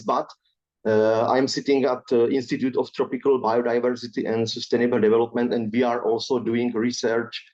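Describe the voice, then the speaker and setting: A man from the gives an academic webinar talk in mid-pitched, careful English. He fills his pauses with steady "uh"s as he works through his slides. The sound comes through a dull, lo-fi video call line. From Czech Republic. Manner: hesitant, many filler sounds, careful non-native phrasing. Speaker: researcher presenting a webinar.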